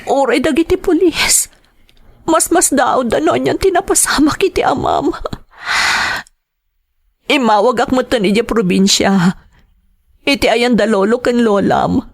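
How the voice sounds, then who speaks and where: A woman's voice: fairly high pitch, unhurried, vocal fry, long pauses. A middle-aged Filipino woman acting in a radio drama as an anguished wife and mother whose husband is missing, tearful, sighing and pleading. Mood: despairing, tearful.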